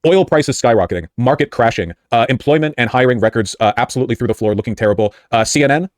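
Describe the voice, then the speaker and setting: A man's voice: medium pitch, fast talker, no echo, studio quality. A man giving emphatic, argumentative and sarcastic off-the-cuff political commentary as he reacts to a news report.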